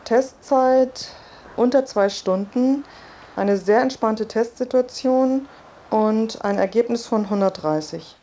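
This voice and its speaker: Mellow woman giving a casual account. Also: on the high side, takes it slowly. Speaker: interviewee. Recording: faint background noise and room ambience.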